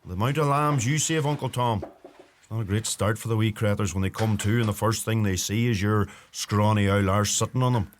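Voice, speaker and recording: An actor playing a young, earthy farmer and youngest son, with a Donegal Irish accent and dry manner, in a radio play. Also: male voice, lowish voice, brisk, lightly acted. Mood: teasing. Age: young adult.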